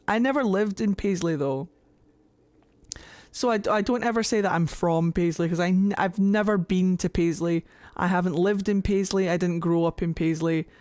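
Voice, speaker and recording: A woman's voice: mid-range voice, a little mellow, no room sound. Scottish woman, young adult, chatty and rambling, laughs a lot, casual game stream, dry close sound. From Scotland. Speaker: livestreamer. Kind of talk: casual chat while gaming.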